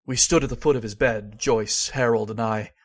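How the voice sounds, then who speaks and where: A man playing a grave, haunted nephew who tells his horror story in measured old-time radio style. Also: medium pitch, fairly even intonation, natural voice. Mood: somber. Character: somber male narrator of a horror tale, haunted nephew.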